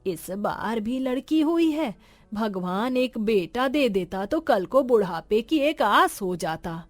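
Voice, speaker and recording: A woman voicing a joyless, resigned old village midwife with a slow delivery. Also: on the high side, expressive, no room sound, faint music behind. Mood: gloomy. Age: old figure.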